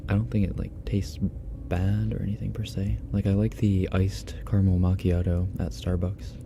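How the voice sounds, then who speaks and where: A man streaming ASMR, chatting casually and softly with viewers, often dropping into a whisper, on a binaural microphone. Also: deep voice, level tone, normal speed, gravelly, noisy background. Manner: soft-spoken, often whispering, chatty and self-deprecating. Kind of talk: casual ASMR livestream chat reading donations.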